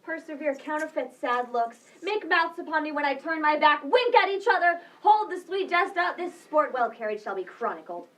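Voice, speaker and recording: Woman acting high-voiced, indignant young woman of Shakespeare. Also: expressive, normal speed, few pauses, far from the mic. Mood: bitter, resigned. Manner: declamatory, accusing, archaic verse. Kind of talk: amateur Shakespeare read-through.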